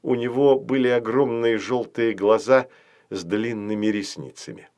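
A man's voice: mid-range voice, a little slow, a little mellow. A man reading scripted narration as the storyteller of a children's fairy tale.

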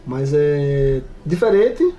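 A man's voice: a little high, big pitch swings, very slow, dark tone, a little hiss or hum. A man talking casually as a participant on an RPG podcast. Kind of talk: casual chat.

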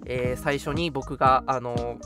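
A man hosting a casual live radio talk show, chatting in an animated, joking way. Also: mid-range voice, a little slow, over music.

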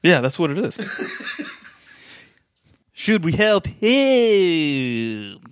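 A man's voice: fairly high pitch, sing-song, very slow, slight background noise. A man chatting casually while rehearsing as an amateur voice performer for a podcast.

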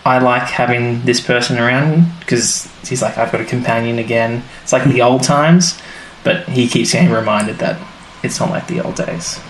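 A man co-hosting a podcast, rambling through a plot recap in relaxed conversation. His voice sits at an ordinary middle pitch. The room has a noticeable echo and a faint hiss of noise underneath. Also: normal speed. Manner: rambling, restarts and fillers while recounting plots. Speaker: podcast co-host. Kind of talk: casual chat. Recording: roomy sound with faint background noise.

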